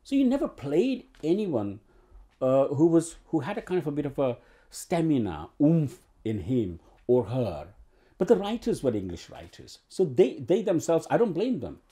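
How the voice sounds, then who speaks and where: A man's voice: fairly high pitch, sing-song, moderate pace, warm tone. A man in his sixties from Uganda, an actor telling his story in an oral history interview in a living room, rambling with many restarts and fillers. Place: living room with bookshelves and sofa.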